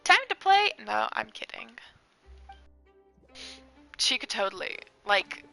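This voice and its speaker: A woman's voice: medium pitch, very animated, slow, vocal fry, pushed and bright. A woman giving casual, reactive gameplay commentary, effusive and silly, chatting to the game's characters. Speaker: game streamer. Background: faint game music.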